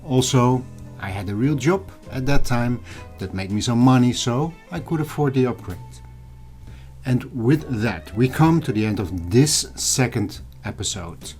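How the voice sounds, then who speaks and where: Man, middle-aged, mid-range pitch, measured explanatory vlog narration, direct address to viewers, guitar music in the background. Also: expressive, a little mellow. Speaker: video channel host. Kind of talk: scripted vlog narration over old photos and footage.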